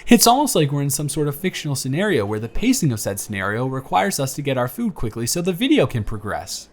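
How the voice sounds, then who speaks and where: A man's voice: mid-range voice, quick pace, lightly acted. A man playing a giddy, smitten young man on a blind date, bantering playfully in a one-sided romantic audio roleplay. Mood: excited, playful. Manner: animated, bantering, playful storytelling with stammers.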